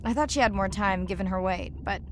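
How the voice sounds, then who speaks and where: A woman playing a warm, earnest and playful young diver and ocean researcher in a naturalistic video game performance. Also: mid-range voice, fairly quick, slight background noise, close to an everyday voice.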